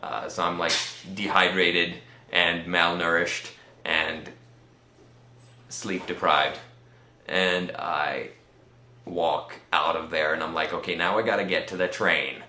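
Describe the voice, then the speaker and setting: Deep-voiced Swedish man, halting talker. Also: fairly even intonation, pushed and bright, echoey room. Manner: halting, conversational delivery with many pauses. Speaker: vlogger. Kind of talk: casual rambling vlog storytelling.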